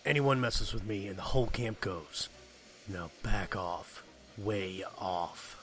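An actor playing a grim, suspicious leader of an isolated camp in a horror film, speaking in terse, menacing statements. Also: male voice, lowish voice, slow pace, fairly bright tone, soft background music. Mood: threatening.

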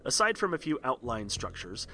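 A man's voice: medium pitch, sing-song, normal speed, sharp tone, a little hiss or hum. A man giving scripted narration as a podcast host and storyteller. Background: faint music.

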